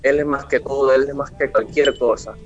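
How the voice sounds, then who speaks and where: A man's voice: medium pitch, fairly even intonation, fairly quick. A Venezuelan man of twenty-one speaking earnestly, with preacher-like declarations, as an interview guest. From Mérida, Venezuela. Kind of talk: radio interview, devotional testimony. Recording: dull lo-fi remote link, music behind. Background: music.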